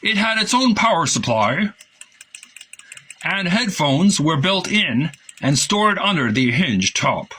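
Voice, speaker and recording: A man voicing an enthusiastic male designer who recalls a project, read aloud in an animated, emphatic way. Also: a little high, slow, slightly rough, voice pushed up, full character voice. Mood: reminiscing, earnest. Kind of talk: quoted recollection read aloud.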